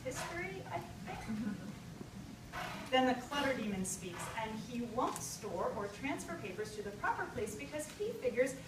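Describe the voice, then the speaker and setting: A high-voiced woman reads a humorous essay at a live event, emphatic and theatrical. Her pitch swings widely, and she is recorded at a distance with strong echo. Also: big pitch swings. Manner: theatrical, emphatic, with deliberate pauses. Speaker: writer reading own essay. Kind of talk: humorous personal essay read to an audience. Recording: echoey, distant live recording with loud noise.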